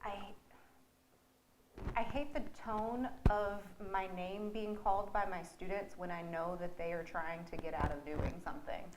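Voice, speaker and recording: A woman's voice: fairly low pitch, steady tone, a little slow. A woman chatting casually as a theatre director on a panel, hesitant and thinking aloud. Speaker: theatre director being interviewed. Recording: faint background noise, local TV recording.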